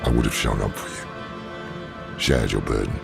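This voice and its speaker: Very deep, gravelly old warrior. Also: male voice, normal speed, heavily acted. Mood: gruff, weary. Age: older. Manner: gruff, terse, weary. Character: weary, gruff old male warrior, loyal and blunt. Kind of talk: cinematic fantasy game acting. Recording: loud scary music behind.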